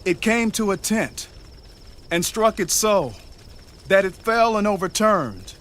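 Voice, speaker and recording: Awed ancient soldier, high voice, slow and halting, faint music, bible drama. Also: male voice, expressive, a bit bright, full character voice. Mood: awed, uneasy. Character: soldier recounting his strange dream in awe. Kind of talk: dramatized scripture, audio theater acting.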